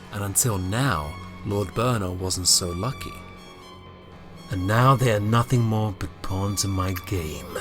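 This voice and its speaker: A man playing a scheming, menacing emperor who narrates an audio drama prologue in a theatrical, deliberate way. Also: lowish voice.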